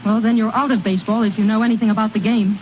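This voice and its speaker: An actress playing an earnest, persuasive young small-town storekeeper, speaking in a direct, coaxing way in old radio drama style. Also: female voice, fairly high pitch, steady tone, rapid-fire, lightly acted. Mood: earnest, urging. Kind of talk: 1930s-40s radio drama acting. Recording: thin, narrow-band vintage radio recording, faint noise.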